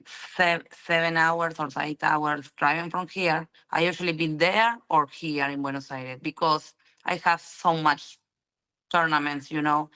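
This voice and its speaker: Low, unhurried Argentine woman. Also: sharp tone, clear, resonant tone, rough recording. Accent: Argentine Spanish. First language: Spanish. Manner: halting learner's English, many ums and "you know". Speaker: interview guest, pool player. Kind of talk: casual interview answers.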